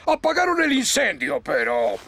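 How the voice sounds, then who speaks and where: A man voicing a pompous, proud older fire station officer in a cartoon dub, with a creaky, blustering, commanding delivery and a Latin American Spanish accent. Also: high-pitched, wildly swooping pitch, normal speed. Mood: proud, instructive. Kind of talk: animated cartoon dubbing. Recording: loud music behind the voice.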